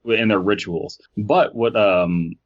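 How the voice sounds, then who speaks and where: A man's voice: on the low side, slow pace, highs cut off. A man hosting a podcast in an informal, joking way, moving between casual banter and reading stories aloud. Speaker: podcast host.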